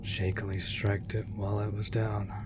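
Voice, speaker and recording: A man playing a gentle, world-weary monster hunter in an intimate comfort roleplay, speaking in breathy half-whispers with halting delivery. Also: fairly low pitch, little pitch movement, background noise, natural voice. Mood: quiet, reminiscing. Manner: slow, halting, hushed delivery. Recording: loud rain and cave ambience. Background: rain in a cave.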